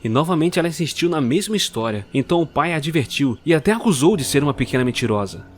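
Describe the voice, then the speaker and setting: A man narrating a dark story in a measured, dramatic way. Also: on the high side, sing-song. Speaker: narrator and producer.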